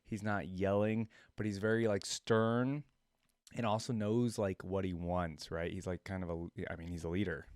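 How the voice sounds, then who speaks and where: A man's voice: sits low, level tone, moderate pace, a little mellow, dry recording, clean studio sound. A man hosting a casual reaction video, musing aloud with lots of fillers and repeating lines back to himself.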